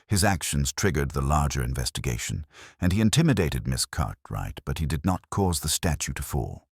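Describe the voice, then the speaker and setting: A man voicing a calm, methodical police inspector in a mystery story, soft-spoken, formal and even. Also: very deep voice, normal speed, breathy edge, no room sound, studio quality, full character voice. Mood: quietly grave. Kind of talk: storybook detective dialogue.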